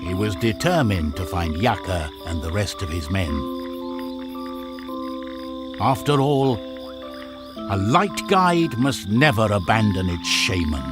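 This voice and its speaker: A man narrating a game's story in a solemn, theatrical storybook style with measured delivery. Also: on the low side, sing-song. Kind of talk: dramatic scripted storybook narration for a game. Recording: music behind the voice. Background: loud music.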